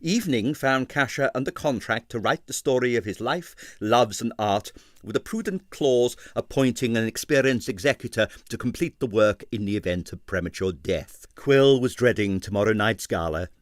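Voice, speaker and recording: A man reading an abridged novel aloud with expressive narration. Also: mid-range voice, normal speed, hardly any pauses, no room sound, studio quality. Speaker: audiobook reader.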